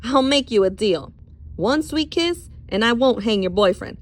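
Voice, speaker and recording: Storybook villain played by a woman: boozy male sheriff, slow drawl, smug, leering and taunting. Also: fairly high pitch, animated. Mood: smug threatening.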